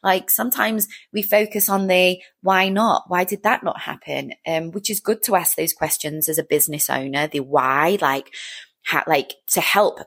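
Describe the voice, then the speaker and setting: A woman's voice: lowish voice, normal speed, a bit bright, breathy edge. A woman of about forty chatting casually on her own as a fitness trainer, rambling along in an upbeat, encouraging way. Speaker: fitness trainer and business owner hosting a show. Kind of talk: casual solo chat, personal update.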